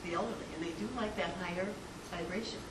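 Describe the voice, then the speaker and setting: A woman telling stories in a casual group discussion, chatty and quick to laugh. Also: lowish voice. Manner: chatty storytelling, laughs easily. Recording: echoey room from far off, noisy.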